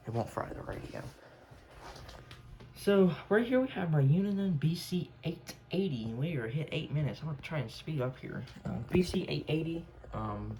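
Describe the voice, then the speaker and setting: Creaky low-voiced man rambling casually. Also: slight background noise, low-quality recording. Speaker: hobbyist collector showing a radio collection. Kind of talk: casual unscripted show-and-tell.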